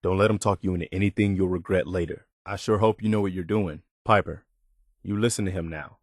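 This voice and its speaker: Earnest, low-pitched wasteland militia soldier. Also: male voice, fairly even intonation, a little fast, dry recording, studio quality. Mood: earnest, wary. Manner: earnest, plainspoken, folksy. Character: earnest, dutiful male militia soldier in a post-apocalyptic wasteland. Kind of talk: video game companion voice lines.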